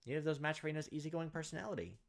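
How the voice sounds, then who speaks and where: A man's voice: a little high, rapid-fire, creaky, voice pushed up. A man voicing a shaken young man in a visual novel who questions in disbelief and defends his friends emotionally. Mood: bewildered disbelief.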